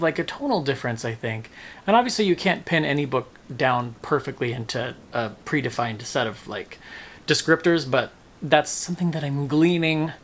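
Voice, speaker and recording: A man's voice: mid-range voice, fairly bright tone, a little hiss or hum. A man talking casually and emphatically in a book review vlog, often musing aloud and second-guessing himself.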